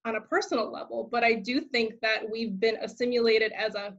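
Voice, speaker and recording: This is a woman with a slightly high voice, heard through a dull, lo-fi recording. As host, she thinks aloud and circles her points with small hesitations and restarts. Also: steady tone, brisk, a bit bright. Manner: thoughtful, hesitant, lots of fillers and restarts. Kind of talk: conversational interview. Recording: dull lo-fi sound.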